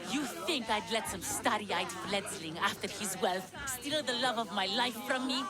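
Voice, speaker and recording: An actress playing a smug, scheming young poisoner in a video game cutscene, mocking and condescending. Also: female voice, mid-range voice, natural voice. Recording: music under the voice.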